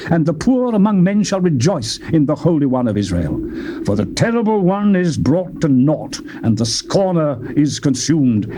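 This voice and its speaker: A man's voice: on the high side, background noise. A man reading scripture as a dramatic narrator, solemn and declamatory, in archaic diction, on an old cassette tape. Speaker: Bible narrator.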